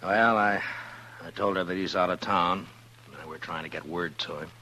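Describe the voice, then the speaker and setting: A man playing a steady, conflicted frontier lawman in an old-time radio western, speaking in a halting, understated, measured way. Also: deep voice. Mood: troubled. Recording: noisy old radio recording with hum.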